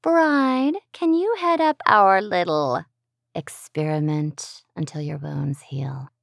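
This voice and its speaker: A woman's voice: fairly low pitch, slow pace, vocal fry, no echo, clean studio sound. A woman playing a commanding, scheming villainess who speaks with theatrical condescension to her minions.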